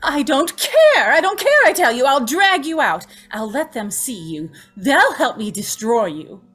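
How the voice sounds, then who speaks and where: A woman's voice: sits high, sing-song, unhurried, a bit bright, soft background music, heavily acted. A woman playing a tormented, pleading young woman, tearful and melodramatic in an old-time radio drama. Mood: desperate defiance. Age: young adult. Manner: tearful, breathlessly emotional, melodramatic.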